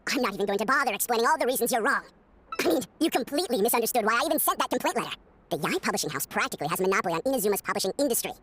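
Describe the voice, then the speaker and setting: A hot-tempered, self-important game character who argues in a very fast, high voice. Every phrase is combative and contemptuous, with faint noise behind it. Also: female voice, expressive, fairly bright tone. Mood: scornful, indignant. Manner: scornful, combative, indignant. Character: argumentative, scornful, egotistical complainer with rotten temper. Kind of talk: video game voice acting.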